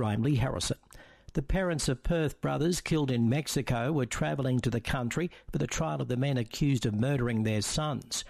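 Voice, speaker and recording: A man reads the radio news in a measured, formal way. His voice has a gravelly edge and sits dry and close to the mic. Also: mid-range voice, a little mellow, no room sound. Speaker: newsreader.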